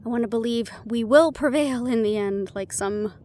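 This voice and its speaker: A woman's voice: on the high side, moderate pace, darkish tone, natural voice. A woman playing a worried, wry half-banshee in an intimate fantasy audio roleplay, confiding and musing as she talks. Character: young female half-banshee siren, worried, wry, secretly vulnerable. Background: background music.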